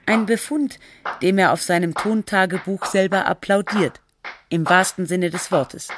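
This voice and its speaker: A woman's voice: fairly low pitch, unhurried, noisy background, close to an everyday voice. A woman playing a sober, literary narrator and researcher in scripted documentary-style radio drama. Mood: measured, factual.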